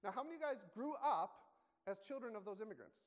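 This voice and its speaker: Conversational pastor in a reverberant hall. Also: male voice, high-pitched, lively intonation, fast. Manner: conversational, self-correcting, asks the congregation questions. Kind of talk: sermon. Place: church sanctuary.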